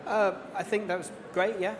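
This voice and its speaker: A man with a high voice moderates an audience Q&A in an easygoing, casual manner. His voice rings with long reverb from the hall. Faint noise sits behind him. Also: flat delivery, moderate pace. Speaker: event moderator.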